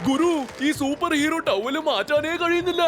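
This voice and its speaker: Naive eager cartoon superhero sidekick, high voice swinging all over, loud music. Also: male voice, lively intonation, normal speed. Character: eager, naive, obedient male superhero disciple. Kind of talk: cartoon dubbing.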